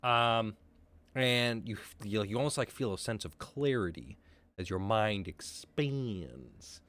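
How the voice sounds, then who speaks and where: Low-voiced man running a tabletop game. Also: expressive, slow, fairly bright tone. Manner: rambling, many fillers and swears. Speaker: game master.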